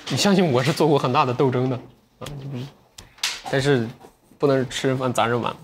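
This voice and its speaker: A man's voice: medium pitch, a little fast, noisy background. A young man hosting a livestream from an indoor studio, chatting to viewers, selling goods and talking about a book. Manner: lively, talks straight to viewers. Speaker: livestream sales host.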